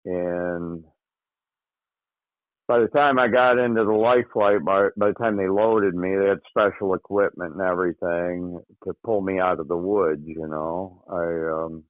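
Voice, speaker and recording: A man from Michigan, an interview guest, recounting a hunting accident in casual, halting speech with frequent pauses. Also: low voice, steady tone, no room sound. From Michigan, USA. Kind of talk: casual spoken recollection. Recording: tinny phone line, dry.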